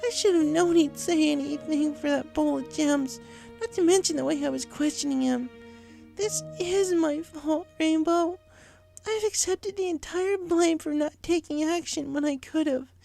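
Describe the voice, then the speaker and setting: A woman's voice: high-pitched, moderate pace, creaky, mellow, soft background music, voice pushed up. A woman voicing a tearful, guilt-ridden young female pony in an emotional, pleading story performance. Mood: tearful, remorseful. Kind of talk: dramatic audio fiction reading.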